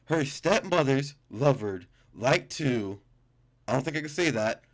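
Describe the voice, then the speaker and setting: A man's voice: medium pitch, lively intonation, measured pace. A man reading game text aloud as a gaming YouTuber, stumbling and correcting himself, with casual reactions. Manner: stumbling, self-correcting reader.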